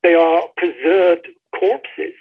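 A man's voice: high voice, takes it slowly, slightly rough. A man speaking as an author being interviewed, talking in an articulate, storytelling way over a dull, lo-fi line. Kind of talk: conversational book interview.

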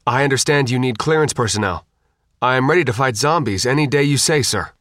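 A man's voice: medium pitch, a little fast, fairly bright tone, dry recording, studio quality, close to an everyday voice. A man voicing an earnest, formal young Marine lance corporal in an audiobook, with precise, barked military speech. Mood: eager, formal. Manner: barking, precise military address. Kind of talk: audiobook character dialogue.

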